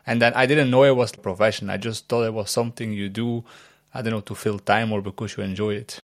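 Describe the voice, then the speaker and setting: A man's voice: on the low side, steady tone, fast, no echo, studio quality. A man hosting a tech podcast, chatting loosely and asking questions with the odd pun. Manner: chatty, loose phrasing, playful puns. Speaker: podcast host. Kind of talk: casual interview chat.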